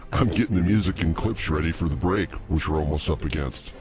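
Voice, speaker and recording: A man's voice: rumbling low, moderate pace. A man co-hosting a show in casual, comic banter. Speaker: co-host running music and clips.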